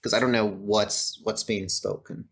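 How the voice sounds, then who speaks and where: An easygoing man from the United States with a fairly low voice chats about films on a podcast. He talks hesitantly, with plenty of fillers, and pokes fun at himself. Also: fairly even intonation, unhurried, vocal fry, a bit bright. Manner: easygoing, self-deprecating, hesitant. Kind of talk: casual film discussion.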